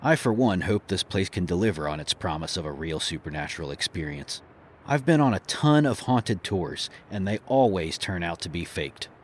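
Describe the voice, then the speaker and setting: A man's voice: low voice, expressive, moderate pace, a little hiss or hum. An actor playing a cocky, flirtatious, wisecracking male thrill-seeker in an audio horror drama, glib and teasing. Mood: wry, cocky.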